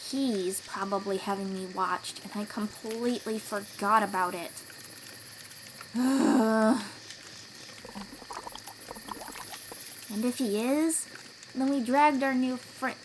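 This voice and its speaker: A woman playing a young, protective sea-dweller warrior in an audio roleplay, encouraging and gently teasing as she talks straight to the listener. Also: medium pitch, a little hiss or hum, low-quality recording. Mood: worried, quiet.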